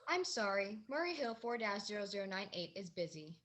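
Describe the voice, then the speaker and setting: A woman's voice: mid-range voice, very animated, fairly quick, natural voice. A woman acting a polite telephone switchboard operator in a radio drama, formal and formulaic. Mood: courteous, routine. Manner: formal, routine, courteous. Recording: clearly noisy background with clicks.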